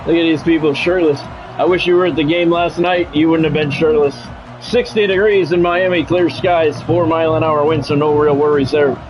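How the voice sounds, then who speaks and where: A man's voice: a little high, steady tone, moderate pace, over music, rough recording. A middle-aged man doing live sports game commentary like a radio sportscaster, chatting with viewers and repeating phrases for emphasis. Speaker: game streamer and play-by-play announcer.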